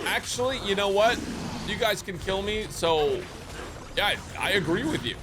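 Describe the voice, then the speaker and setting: A man's voice: high-pitched, lively intonation, moderate pace. A man streaming a game with casual, sarcastic, slangy and sweary live reactions. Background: game music.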